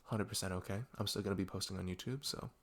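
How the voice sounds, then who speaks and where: A man with a very low voice and plenty of fry talks informally to his listeners. He rambles in a chatty, self-deprecating way, like a creator introducing his own work. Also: fairly even intonation, quick pace. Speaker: audio roleplay creator introducing a video. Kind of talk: casual rambling intro with plug.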